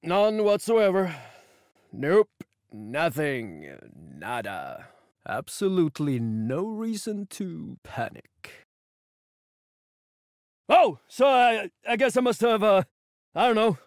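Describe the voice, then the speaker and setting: A man's voice: sits high, very animated, slow, pauses often, dry recording, clean studio sound, heavily acted. A man voicing a jittery, unhinged criminal bluffing as a guard in a video game, hesitant and oddly morbid. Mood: nervous bluffing. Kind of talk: game voice lines over radio.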